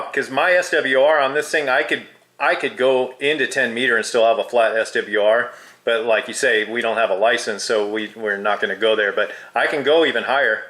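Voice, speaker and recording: A man's voice: medium pitch, fairly bright tone. A man who is a hobby radio operator, chatting casually with radio jargon.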